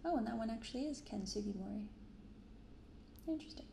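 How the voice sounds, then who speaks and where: A young adult woman speaking softly and unhurriedly in a hushed ASMR style as she hosts a casual show-and-tell. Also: a little high, lively intonation. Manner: hushed, unhurried reading aloud and chatting. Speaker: YouTube card-opening host. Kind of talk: soft-spoken ASMR, casual commentary. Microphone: close binaural microphone. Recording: roomy sound with clear background noise.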